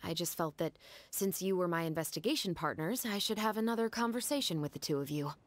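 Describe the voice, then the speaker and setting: A woman voicing a stoic young patrol captain in a game, speaking in a calm, measured, reserved way. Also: fairly low pitch, close to an everyday voice. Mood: solemn. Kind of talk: anime game dub. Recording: game audio under music.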